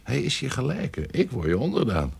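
An actor playing a scheming, lecherous courtier in an audio period drama, insinuating and savouring his words, often dropping to hushed tones. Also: wildly swooping pitch, normal speed, exaggerated voice. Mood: coaxing. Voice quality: deep, gravelly. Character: scheming, lecherous male courtier and secret conspirator. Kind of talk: audio drama acting in Dutch period piece.

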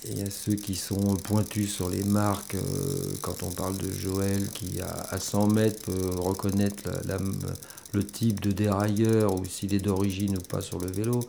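A man's voice: low voice, little pitch movement, a little slow, creaky, mellow, a little hiss or hum. A man talking informally and hesitantly, in an anecdotal way, like a volunteer mechanic interviewed for an audio documentary. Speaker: volunteer bicycle mechanic at a community repair workshop.

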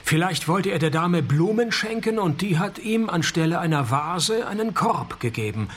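An actor playing a wry, world-weary private detective in a radio crime comedy, deadpan and sardonic. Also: male voice, high voice, normal speed, husky. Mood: dry, wry. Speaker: detective and narrator. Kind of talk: radio crime comedy, first-person noir narration.

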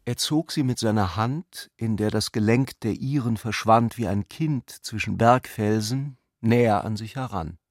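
A man narrating a literary radio drama in a measured, articulate way. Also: on the low side, dry recording, clean studio sound.

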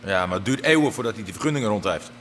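Gangster's practical sidekick, fairly low male voice, casual, matter-of-fact, radio drama, light background noise. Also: animated, very fast, a bit bright, in a large hall. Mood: practical, wary. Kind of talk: naturalistic radio drama acting.

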